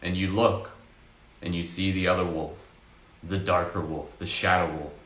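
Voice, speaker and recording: A man leading a slow, soft-spoken guided meditation with casual fillers. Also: low voice, fairly even intonation, echoey room.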